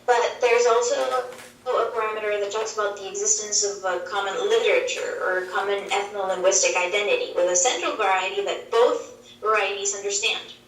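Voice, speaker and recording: A woman whose first language is Swedish explains linguistics on a video call, measured and full of examples. Her voice comes from a wall screen across the room, distant and echoey. The pitch sits in a middle range. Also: fairly even intonation, fairly bright tone, a little hiss or hum. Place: played through a wall screen in a dim room. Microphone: video call heard over speakers. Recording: roomy, distant playback from a screen.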